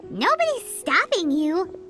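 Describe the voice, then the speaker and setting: A woman's voice: very animated, unhurried, exaggerated voice. A woman voicing a tiny, cheeky, childlike fairy companion in a video game. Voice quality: squeaky, childlike. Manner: exclamatory, cheeky. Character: tiny perky floating fairy companion girl, cheeky and loyal. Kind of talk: video game character acting. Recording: music playing behind voice. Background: game background music.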